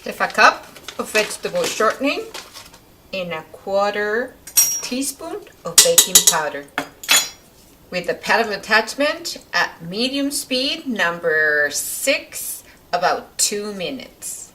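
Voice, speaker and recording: A woman's voice: medium pitch, sing-song, takes it slowly, distant mic, noisy background. A woman from Mexico with a Mexican accent, a native Spanish speaker, hosting an informal home recipe demonstration. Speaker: home cooking video host. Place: kitchen.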